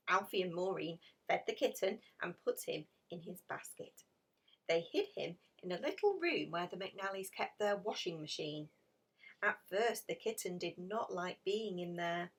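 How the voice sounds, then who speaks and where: A woman reading a children's picture story aloud in an expressive, dramatic storytelling manner. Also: medium pitch, distant mic. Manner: animated, dramatic emphasis with hushed passages. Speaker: storybook reader.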